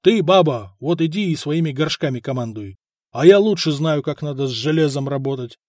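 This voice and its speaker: A man's voice: a little high, animated, brisk, a little mellow, no room sound, studio quality. A man voicing a burly, proud blacksmith who blusters at his wife in a storybook reading. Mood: gruff blustering. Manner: gruff, blustering.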